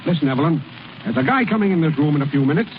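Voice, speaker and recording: A man plays a bossy, scheming ball club manager in a vintage radio comedy. He talks in brisk slang with a high, very animated voice. The sound is muffled like an old broadcast. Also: a little hiss or hum, natural voice. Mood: jovial, scheming. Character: gruff, scheming baseball team manager, a man. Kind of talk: 1930s radio comedy acting.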